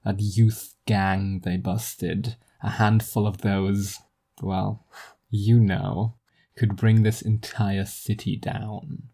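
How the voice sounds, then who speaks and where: A paranoid middle-aged businessman in an audio drama as a solo narrator acts him out, low-key and dark in tone. He speaks haltingly and conspiratorially, insinuating his bigotry to whoever is listening. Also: little pitch movement, heavily acted. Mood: conspiratorial, bitter.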